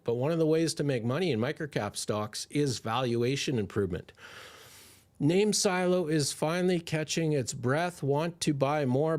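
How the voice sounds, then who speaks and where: Excitable man, voice going a little hoarse. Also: on the high side. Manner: excitable, chatty, rambling with restarts. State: slightly hoarse, losing his voice. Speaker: stock investing channel host. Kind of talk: livestream Q&A on stocks.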